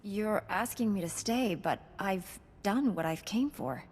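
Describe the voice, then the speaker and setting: An actress playing a calm, self-assured warrior heroine in a video game, speaking in a composed, measured way. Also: female voice, medium pitch, breathy edge.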